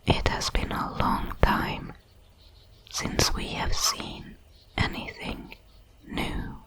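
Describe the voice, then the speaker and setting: A woman voicing a curious, solemn alien creature, a shard of a hive mind, in a whispered, halting ASMR sci-fi roleplay. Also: rough recording. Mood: solemn wonder. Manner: slow, deliberate, halting over its words.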